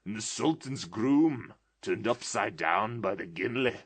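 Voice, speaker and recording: A man voicing an old male miser who exclaims in a drawn-out way, between laughing and crying. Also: lowish voice, animated, gravelly, a bit bright, slightly rough, pitched above the performer's own voice, heavily acted. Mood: ecstatic. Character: old male miser, tearful, wildly excited.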